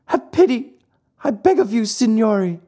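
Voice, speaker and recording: A man's voice: high voice, big pitch swings, a little slow, darkish tone, husky, voice pushed up, heavily acted. A man voicing a small, earnest wooden boy marionette with an imploring manner in a storybook reading. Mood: pleading.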